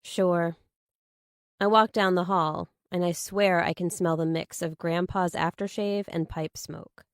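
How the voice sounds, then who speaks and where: A woman's voice: on the low side, fairly even intonation, normal speed, few pauses, no echo, clean studio sound. A woman reading a scripted first-person thriller audiobook as its narrator.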